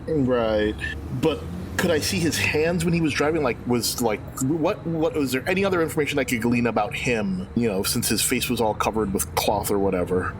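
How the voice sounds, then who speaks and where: A man's voice: medium pitch, normal speed. Guy player with a middle voice, keeps asking follow-ups, noisy recording. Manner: probing, asks follow-up questions. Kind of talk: casual game talk.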